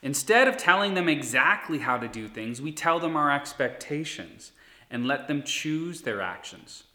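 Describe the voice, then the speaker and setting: A man in his thirties, a pastor, giving a sermon in an earnest, steady preaching manner. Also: fairly high pitch, moderate pace. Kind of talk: recorded sermon. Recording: echoey room.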